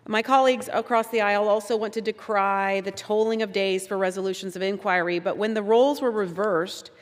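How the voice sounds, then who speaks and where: A woman reading prepared remarks in a measured way during a House floor debate, recorded in a large legislative chamber. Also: mid-range voice. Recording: long hall reverb.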